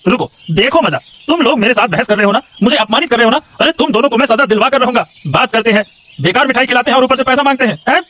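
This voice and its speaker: A man's voice: extremely high pitch, animated, racing, pushed and bright, exaggerated voice. A man voicing a scheming, indignant royal minister in a cartoon, scolding and repeating himself with petty bluster. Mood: disgusted, scolding. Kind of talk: exaggerated Hindi cartoon voice acting.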